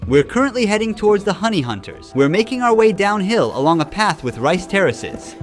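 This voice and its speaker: A man's voice: sits high, big pitch swings, brisk. A man giving scripted documentary narration in a dramatic storytelling manner, with music behind him.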